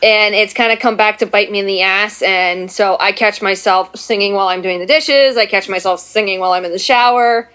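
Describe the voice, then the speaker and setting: Chatty woman with a deep voice. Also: steady tone, a little fast, hardly any pauses, a bit bright. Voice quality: deeper voice for a woman. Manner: chatty, self-deprecating, talks at length. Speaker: guest. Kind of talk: casual chat.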